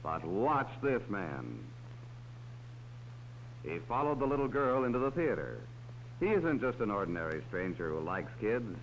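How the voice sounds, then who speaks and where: Male narrator for a 1940s kids' safety film, lively and patient, dull hissy sound. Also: on the low side, measured pace, long pauses. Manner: talks down to children. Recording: dull old film soundtrack with faint hiss.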